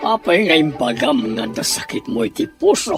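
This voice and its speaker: A man's voice: medium pitch, very animated, moderate pace, over loud music. A man playing a weary dutiful son. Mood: worried. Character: weary middle-aged son, jobless, dutiful.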